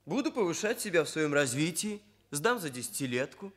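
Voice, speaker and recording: An actor playing an earnest, self-assured young hairdresser in a Soviet radio play, with formal, stilted bookish phrasing. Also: male voice, on the high side, big pitch swings, fairly quick, a bit bright. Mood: earnest, proud. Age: young adult.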